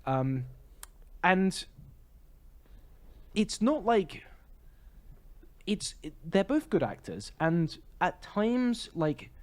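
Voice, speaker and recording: A man co-hosting a podcast, chatting casually about film in a rambling, halting way full of self-corrections. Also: high voice, big pitch swings. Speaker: podcast co-host. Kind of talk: casual film review chat.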